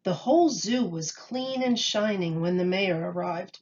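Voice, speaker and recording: A woman reading a children's story aloud with expressive, measured storytelling. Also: lowish voice, lo-fi sound. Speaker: storybook reader.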